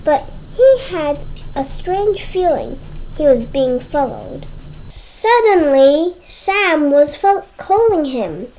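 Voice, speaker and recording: Little kid doing a read aloud, high voice, really slow, trips on words a bit. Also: very animated, darkish tone, low-quality recording. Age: child. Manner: halting, with stumbles and repeated words.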